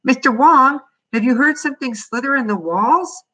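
A narrator voicing a small excitable boy, hopeful and insistent, in a children's audiobook style. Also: medium pitch, moderate pace, breathy edge, recorded from a distance, pitched above the performer's own voice. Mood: eager, hopeful. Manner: eager, calling out, insistent.

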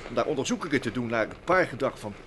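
A man's voice: medium pitch, animated, very fast, fairly bright tone, natural voice. A man playing a flustered inventor professor. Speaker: voice actor. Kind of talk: vintage Dutch radio play acting. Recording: old radio recording with noise.

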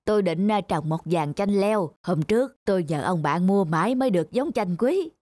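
Man acting calm, genial old cartoon grandpa. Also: high-pitched, moderate pace, no room sound, clean studio sound. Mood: contented. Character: genial retired old man, former commando. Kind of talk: animated moral story dialogue.

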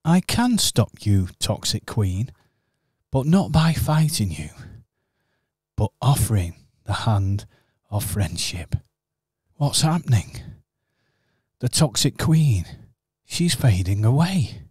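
A man acting in a local radio pantomime, earnest and expressive in his storytelling. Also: medium pitch, takes it slowly, husky, no echo, studio quality. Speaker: pantomime narrator and actor.

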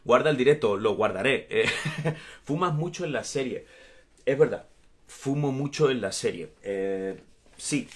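A man's voice: medium pitch, expressive, a little slow. A man in his thirties with an Andalusian accent, chatting casually on a live stream from a small room at home, reading out viewer comments and laughing often. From Andalusia, Spain. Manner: warm, chatty, laughs often, gestures while talking. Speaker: actor answering fans in a live stream. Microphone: phone or webcam at arm's length.

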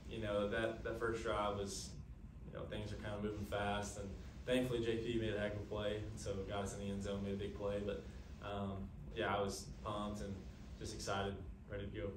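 A young man answering questions at a postgame press conference, earnest and quick, with lots of fillers. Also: fairly low pitch, flat delivery, noisy background. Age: young adult. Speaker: college quarterback. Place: press room, seated at a table. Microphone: table mic, camera at a distance. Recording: echoey room, from a distance.

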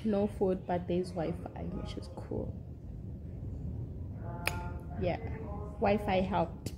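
A woman's voice: on the low side, steady tone, takes it slowly, very noisy. A woman in her thirties, a mother, chatting casually to viewers in a home vlog, teasing and laughing, filmed on a phone held at arm's length indoors. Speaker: family vlogger, mother. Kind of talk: casual vlog chat to camera.

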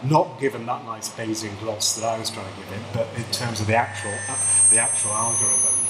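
A middle-aged man with an English accent, a quiet, soft-spoken academic panelist who restarts his sentences often. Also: lowish voice, brisk. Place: seminar room with a panel table. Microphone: table microphone. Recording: echoey room with background noise.

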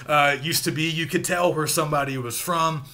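An American man gives an informal literature lecture in a bright, crisp-edged voice pitched a little high. He explains conversationally, checking in as he goes, recorded at desk distance in a room at home. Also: steady tone, fairly quick. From the American South. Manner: explanatory, conversational, checking in with "right" and "you know". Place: small home room, indoors.

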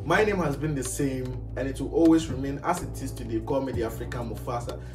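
A man's voice: medium pitch, brisk, over music. Upbeat man hosting sports vlog. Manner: upbeat, chatty, with fillers and asides to viewers. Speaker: sports channel host. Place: indoors at a desk set.

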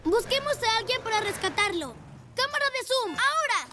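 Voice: extremely high pitch, expressive, normal speed. A performer voicing a brave, enthusiastic little boy rescue motorcycle in a children's cartoon. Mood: eager, excited. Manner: enthusiastic, exclaiming. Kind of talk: animated children's show dubbing. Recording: music behind the voice.

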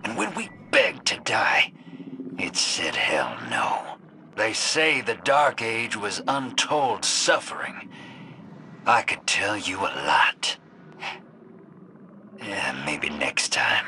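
An actor voicing a shady, streetwise rogue and survivor in a video game, with slangy, conspiratorial swagger. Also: male voice, lowish voice, wildly swooping pitch, slow, creaky, pauses often, pushed and bright, slight background noise, full character voice. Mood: chummy, confiding. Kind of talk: video game character dialogue.

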